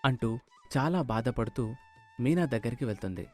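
A man's voice: medium pitch, expressive, normal speed, darkish tone, soft background music. A man narrating a scripted moral story as the storyteller.

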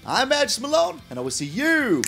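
A young man hosting a card game channel, energetic and emphatic, his voice a little hoarse from a long stream. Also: normal speed, soft background music. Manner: hyped, emphatic, swings between loud hype and low asides. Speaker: gaming channel host.

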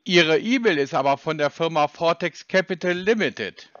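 A man with a notably high voice talks on a phone call. He is insistent and pedantic, often complaining, in a dry, slightly lo-fi recording. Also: expressive, normal speed, fairly bright tone, no room sound. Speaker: scambaiter on a prank call. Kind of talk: phone conversation. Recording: dry, slightly dull lo-fi sound.

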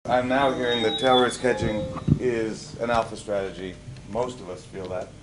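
A man's voice: fairly low pitch, steady tone, normal speed, loud music behind the voice, low-quality recording. A middle-aged man from the United States moderating a finance panel from a lectern, chatty and self-deprecating. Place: conference room at a lectern.

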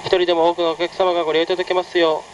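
A man's voice: high voice, level tone, racing, dull audio. A man working as a train conductor, making a formal, courteous live announcement to passengers. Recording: noisy train PA. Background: running train and ventilation noise.

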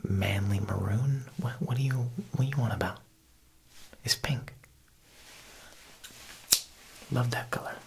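A young man playing an Italian mob boss tailor in a whispered ASMR roleplay, with an Italian-American accent and a smooth, insinuating manner. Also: lowish voice, a little hiss or hum, poor audio. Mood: defensive, gruff. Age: young adult. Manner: slow, hushed, insinuating, with pauses.

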